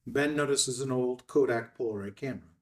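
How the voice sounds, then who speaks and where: A man's voice: medium pitch, fairly quick, recorded from a distance. A man reading scene headings and stage directions in a clipped, scripted narration at a table read.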